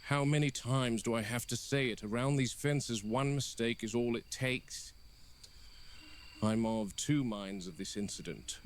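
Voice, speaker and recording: A man playing a stern, exasperated game warden delivering a grave, deliberate monologue in a found-footage horror piece. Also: medium pitch, natural voice. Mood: grim, stern. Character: stern, exasperated male park game warden logging incidents. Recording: noisy found-footage audio with insects.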